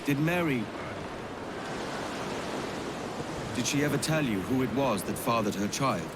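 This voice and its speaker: An actor playing a frank, roguish pirate captain in a game cutscene. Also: male voice, mid-range voice, lively intonation, brisk, very noisy, close to an everyday voice. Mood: probing. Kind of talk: dramatic game cutscene acting.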